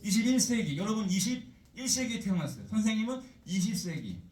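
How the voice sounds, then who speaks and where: A male teacher lectures in a chatty, animated way, tossing rhetorical questions to his class. His voice is high and swings widely in pitch. The sound is roomy and heard from a little distance. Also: brisk, slight background noise.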